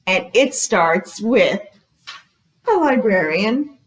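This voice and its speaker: A woman hosting a children's storytime as a librarian, speaking with animated, exaggerated intonation and putting questions to young listeners. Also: medium pitch, drawn-out words.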